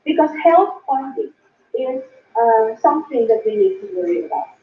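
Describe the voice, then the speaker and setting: A woman's voice: high-pitched, lively intonation, moderate pace. A young Filipino woman telling her family story in a presentation, slipping Tagalog and Bisaya words into her English. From Philippines. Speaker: business recruiter sharing her story. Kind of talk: personal testimony presentation. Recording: echoey, distant, phone-like sound.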